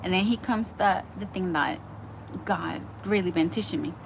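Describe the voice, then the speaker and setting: A woman's voice: on the low side, normal speed, vocal fry, slight background noise, rough recording. Chatty woman giving a casual Bible talk. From Dominican Republic. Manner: chatty, full of likes and ums, earnest. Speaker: Bible teacher. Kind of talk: casual devotional teaching.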